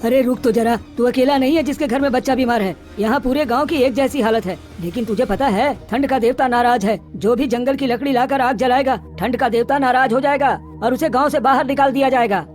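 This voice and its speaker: A woman's voice: high-pitched, brisk, hardly any pauses, a little mellow, faint music behind, natural voice. A woman voicing a bossy, scheming male village headman in a cartoon, hectoring and domineering. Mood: bossy, warning.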